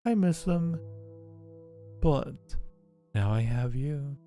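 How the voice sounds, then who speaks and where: A man voicing an ancient female dark spirit in a visual novel, solemn, mournful and devoted, with formal phrasing. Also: medium pitch, expressive, drawn-out words, faint music behind. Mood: tender, grieving. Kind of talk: dramatic visual novel reading.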